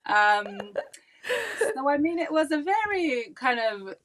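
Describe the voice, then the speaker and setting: A woman's voice: high voice, very animated, slow pace, poor audio. A woman in her forties who grew up in Pakistan, chatting as a podcast guest, talking readily and laughing easily between thoughts. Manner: chatty and warm, laughing often, many ums. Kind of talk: casual interview chat.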